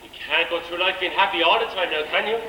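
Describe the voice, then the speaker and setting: A man's voice: high voice, fast, close to an everyday voice. An Irish man playing a jovial, blustering king in an amateur stage pantomime, teasing and theatrical. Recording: echoey village hall stage, background noise.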